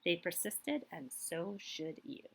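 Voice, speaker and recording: Female read-aloud storyteller, highly animated pitch swings, emphatic delivery for a children's picture book. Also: slow pace, gravelly, slightly rough, low-quality recording. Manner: expressive, emphatic storytelling with brief asides. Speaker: librarian reading a book aloud.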